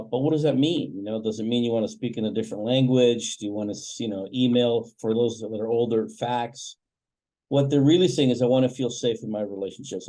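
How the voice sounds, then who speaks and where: A man from Canada, a family wealth coach, answering interview questions in a reflective, measured way with many fillers. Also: mid-range voice, dark tone, poor audio.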